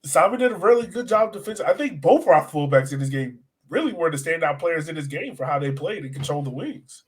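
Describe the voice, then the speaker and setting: A man's voice: a little high, lively intonation, fairly quick. A man hosting a sports podcast in casual chat, with a colloquial, buddy-to-buddy way of talking.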